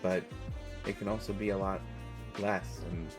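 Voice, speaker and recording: An American man playing an awkward, kindly stranger in an intimate, casual audio roleplay, talking in a halting way with trailing pauses. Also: deep voice, level tone, over music, natural voice. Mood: reflective, gentle. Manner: hesitant, halting, with trailing pauses and self-corrections. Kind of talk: intimate one-sided audio roleplay, casual conversational acting.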